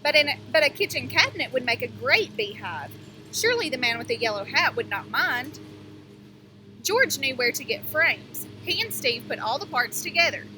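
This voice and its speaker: A woman teacher reading a picture book aloud to young children, pausing to explain things and ask them questions. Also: high voice, big pitch swings, bright, forceful tone, slight background noise, poor audio.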